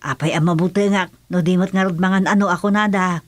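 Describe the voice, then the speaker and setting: A woman's voice: on the low side, fairly even intonation, fast talker, dry recording, close to an everyday voice. A woman with an Ilocano accent playing a nagging, superstitious village wife in a comedy radio drama. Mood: chiding. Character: nagging, superstitious village wife warning her old husband.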